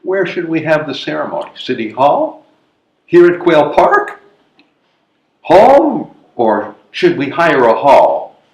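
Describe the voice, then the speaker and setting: A man's voice: a little high, huge pitch swings, takes it slowly, a little mellow, heavily acted. A man playing a hesitant, fretful elderly widower in a readers theatre comedy, protesting and questioning. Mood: nervous, questioning. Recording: echoey live room recording.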